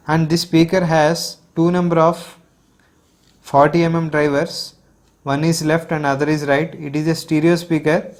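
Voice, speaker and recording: Evenly pitched Indian man reviewing a product. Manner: explanatory, methodical, repetitive phrasing. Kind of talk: unboxing and product walkthrough narration.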